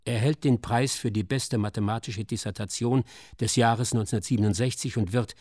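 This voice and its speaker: A man reading scripted documentary narration in a sober, measured, articulate manner. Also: mid-range voice, steady tone, darkish tone, dry recording, clean studio sound.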